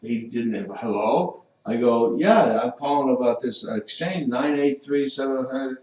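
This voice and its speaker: A man's voice: medium pitch, unhurried, darkish tone. A man co-hosting a casual radio talk show, telling a rambling story with a joking manner. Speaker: radio co-host. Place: Las Vegas radio studio. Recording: distant roomy lo-fi mic.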